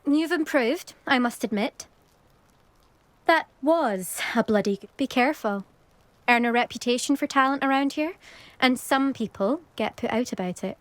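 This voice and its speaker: Haughty, high-voiced British girl racer played by a woman. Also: normal speed, no room sound, natural voice. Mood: grudging respect. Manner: haughty, needling, grudging with praise. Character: brash, competitive teenage girl broom-racing champion. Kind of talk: video game voice acting.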